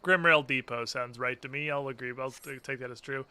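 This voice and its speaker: Bright, sharp-voiced sarcastic man streaming a game. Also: mid-range voice, a little fast, clean tone. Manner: sarcastic, profane. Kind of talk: casual game stream banter, reading game text aloud. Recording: game music under the voice.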